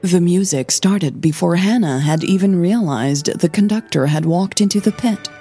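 A woman narrating scripted fiction in a measured, intimate storytelling manner. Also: lowish voice, mellow, breathy edge, faint music behind. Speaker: audio drama narrator.

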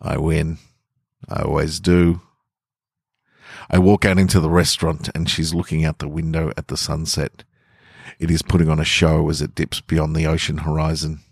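Very deep-voiced man hosting a podcast. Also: level tone, moderate pace, vocal fry, husky, no echo, studio quality. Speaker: podcast host and fiction writer reading own stories. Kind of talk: chatty intro and dramatic first-person story narration.

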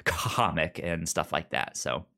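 A man's voice: deep voice, unhurried, creaky, bright, forceful tone. A man co-hosting a podcast, casually discussing comic books and informally acting out bits of paraphrased dialogue as he goes. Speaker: podcast co-host.

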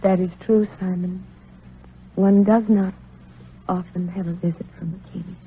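Calm sweet young holy mother, old radio drama, thin phone-like sound. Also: fairly low pitch, unhurried, slight background noise. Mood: serene. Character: serene, gentle, devout young expectant mother. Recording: tinny old radio recording with music.

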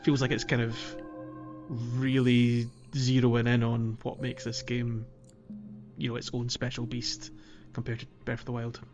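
A man's voice: mid-range voice, completely flat delivery, measured pace. A male podcast co-host with a middle-range voice talks casually about a video game. He rambles in a loose, chuckling way, with faint music playing underneath. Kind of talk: casual two-man video game playthrough chat.

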